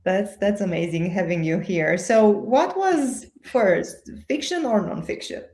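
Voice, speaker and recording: A woman's voice: medium pitch, expressive, a little slow, dark tone. A woman hosting a live author interview, friendly and curious, with small hesitations as she asks questions. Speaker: host. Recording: roomy echo.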